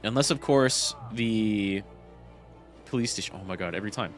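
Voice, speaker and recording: A man's voice: on the low side, normal speed, soft background music. Stuffy-nosed male game streamer. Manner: swearing, incredulous and chatty. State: congested, stuffy nose. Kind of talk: casual game-stream commentary.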